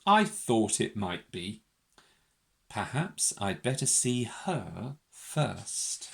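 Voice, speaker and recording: A woman voicing a calm, practical male vet in a children's storybook, measured and matter-of-fact. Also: extremely low pitch, creaky, voice pushed down, exaggerated voice.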